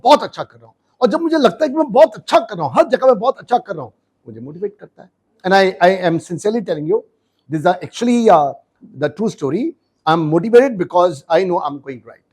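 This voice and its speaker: A man, a business coach telling his life story in an interview, switching between Hindi and English with emphatic, animated delivery. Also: sits high, slightly rough. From India. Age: 60s. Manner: animated, emphatic, mixes languages.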